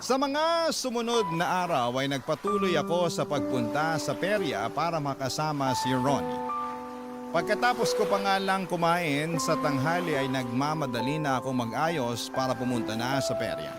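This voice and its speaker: A man's voice: on the high side, animated, moderate pace. A man giving scripted first-person dramatic narration as a radio storyteller. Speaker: storytelling radio host reading a letter. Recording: loud music bed under voice.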